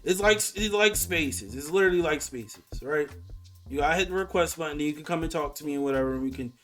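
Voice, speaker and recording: A man's voice: fairly high pitch, fast talker, fairly bright tone, soft background music. A man in his twenties hosting a live voice chat, talking in a casual, confrontational way with plenty of slang and swearing. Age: 20s. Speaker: host of an online live voice chat. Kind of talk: casual argumentative live conversation.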